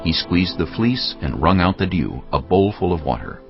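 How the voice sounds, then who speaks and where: A man's voice: sits low, expressive, moderate pace, vocal fry. A man narrating a dramatised audio Bible in a scripted, dramatic storytelling style. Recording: muffled audio under music.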